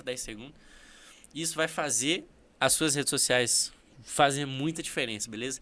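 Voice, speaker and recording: A Brazilian man, a podcast guest, giving folksy, relaxed advice and often checking in with the listener. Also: medium pitch, a little hiss or hum. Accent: Brazilian Portuguese. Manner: relaxed, folksy, ends lines with "beleza?". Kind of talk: casual podcast conversation.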